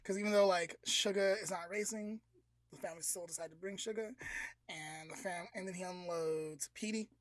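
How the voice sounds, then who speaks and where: A man co-hosting a podcast, telling a long story in a casual, animated way, full of "like" and acting out voices. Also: sits high, moderate pace, dry recording. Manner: animated, filler-heavy, acts out voices. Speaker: podcast co-host.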